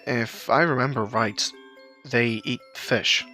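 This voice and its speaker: Audio-drama role: blunt, cautious brainy young guy, forward bright tone, male narrator acting, faint music. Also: medium pitch, fairly even intonation, takes it slowly. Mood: concerned. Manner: blunt, hesitant while recalling facts.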